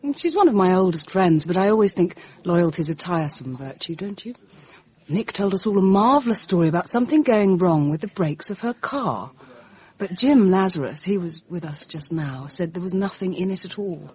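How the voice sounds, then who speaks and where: An actress playing a languid, cynical upper-class English society woman in a radio drama, with a husky voice and an arch, detached way of talking. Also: fairly low pitch, animated, moderate pace, darkish tone, natural voice. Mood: cool, gossipy. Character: languid, cynical, world-weary society woman, coolly gossipy.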